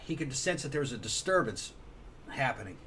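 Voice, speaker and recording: A man's voice: medium pitch, sing-song, a little fast, fairly bright tone. A man in casual discussion as a co-host, circling back to repeat and rephrase his points. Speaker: show co-host. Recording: faint background noise.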